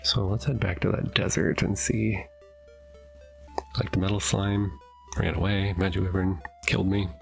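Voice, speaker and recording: A man's voice: low-pitched, flat delivery, normal speed, vocal fry, soft background music. A middle-aged man with a stuffy nose, casually thinking aloud while commenting on his gameplay from his home basement. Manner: thinking aloud, hesitant, self-correcting. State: stuffy, sniffling. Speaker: let's play host.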